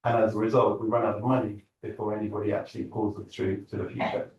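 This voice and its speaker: A man's voice: on the low side, level tone, fast talker, darkish tone, highs cut off, a little hiss or hum. Man, event host, upbeat and joking, roomy hall, heard from a distance. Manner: upbeat, joking, addresses the crowd. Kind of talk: event talk to a live audience. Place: large lecture hall stage.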